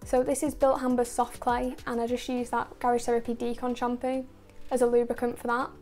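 A woman talks through a car wash step by step in a recorded voice-over, methodical and focused on the products. Her pitch sits a little high but stays very level and even from line to line. Faint music plays underneath. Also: a little fast. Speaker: car detailing channel host.

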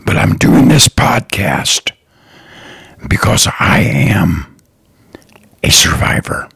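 Slow raspy whispery man. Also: low voice, expressive, fairly bright tone, dry recording, studio quality. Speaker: podcast host, lawn care business owner. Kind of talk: slow, earnest solo podcast talk.

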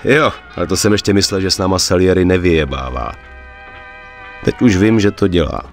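Low-voiced, level-headed gangster. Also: male voice, soft background music. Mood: resolved. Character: level-headed, wary, tough gangster in his thirties. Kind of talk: dubbed video game cutscene acting.

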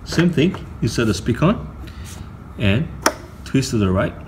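A middle-aged man giving a step-by-step tutorial on audio gear, speaking deliberately in short phrases with pauses. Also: mid-range voice, expressive. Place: indoor carpeted room. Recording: faint room noise, phone camera audio.

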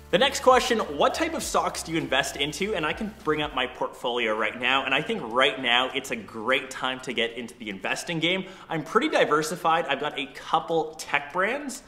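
A man's voice: on the high side, sharp tone. A young man hosting a casual vlog, giving a chatty, upbeat tour and answering viewer questions. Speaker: YouTuber. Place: empty condo studio, large bare room. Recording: echoey empty room.

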